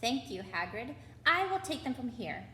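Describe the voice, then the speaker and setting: A stern witch schoolmistress from a storybook, voiced by a woman reader, with a fairly high voice. She is formal and instructive, heard in an echoey room with slight noise. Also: big pitch swings, moderate pace, bright, forceful tone. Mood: brisk.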